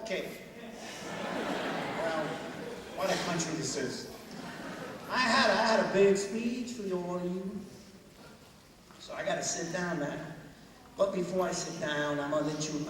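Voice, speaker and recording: Lively high-voiced man in an echoey hall. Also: moderate pace, pauses often, distant mic, very noisy. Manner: animated, asks the crowd many questions, bursts into shouts. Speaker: guest speaker at a school assembly. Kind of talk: motivational speech to students. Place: large school hall. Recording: echoey hall, mic heard from afar.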